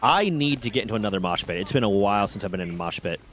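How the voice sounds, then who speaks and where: A man's voice: fairly low pitch, lively intonation, very fast, a bit bright, a little hiss or hum. A man in his thirties streaming a game and chatting casually, rambling and swearing as he tells stories. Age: 30s. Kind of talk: casual gaming chat.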